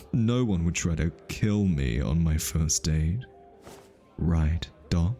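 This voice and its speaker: A man's voice: deep voice, lively intonation, slow pace, mellow, soft background music. A male voice actor playing an easily flustered, teasing hitman who is smitten, in an ASMR roleplay. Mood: teasing, defensive. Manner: teasing, stammers and backtracks when flustered.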